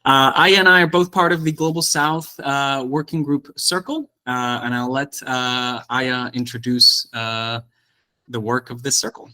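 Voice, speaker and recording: Guy from mexico city hosting a video call talk, medium pitched voice, effusive and welcoming. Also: fairly even intonation, a little slow, pushed and bright. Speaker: host. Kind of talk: welcoming and introducing a speaker at an online discussion.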